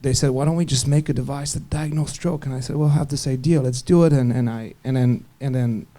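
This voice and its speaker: A man from Bulgaria, a physician on a panel, telling a story with many halting restarts and fillers. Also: medium pitch, fairly even intonation, mellow.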